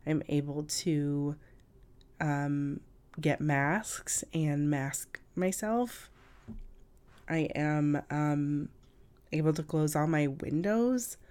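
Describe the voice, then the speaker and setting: Female podcast host, deep register, unhurried delivery; raspy, hoarse with a cold, conversational and halting. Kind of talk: casual solo podcast talk. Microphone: cough drops in mouth, podcast recording.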